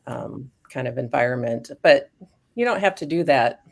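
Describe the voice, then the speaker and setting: Low gravelly-voiced woman explaining. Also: lively intonation, moderate pace. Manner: thoughtful, explains research, frequent ums and restarts. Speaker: guest expert on canine cognitive decline. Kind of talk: casual explanatory conversation.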